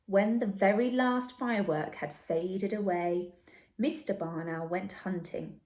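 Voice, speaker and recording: A woman's voice: mid-range voice, measured pace, a little mellow. A woman reading a children's story aloud expressively, like a teacher addressing young listeners, in an echoey room.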